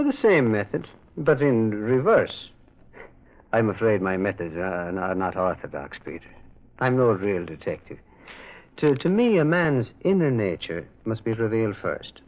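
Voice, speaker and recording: A gentle priest detective in an old radio mystery. His delivery swings widely in pitch, hesitant yet kindly as he moralizes. The sound is thin and narrow, like an old phone line. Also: sing-song, moderate pace. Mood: mild, reflective. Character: gentle, earnest priest detective, mild and thoughtful. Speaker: actor playing the lead.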